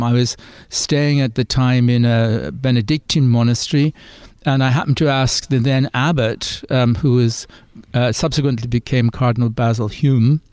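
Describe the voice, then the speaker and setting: An older man with an Irish accent, a Jesuit priest answering interview questions in a thoughtful, hesitant way with many pauses. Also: mid-range voice, darkish tone, dry recording. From Ireland. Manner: hesitant, reflective, many fillers. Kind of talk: interview conversation.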